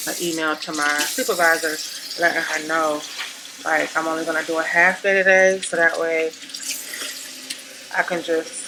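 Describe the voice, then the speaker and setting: A woman in her thirties with a stuffy, congested nose, chatting casually in her home kitchen for a vlog, rambling with fillers and clearing her throat. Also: sits low. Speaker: vlogger. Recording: noisy home recording.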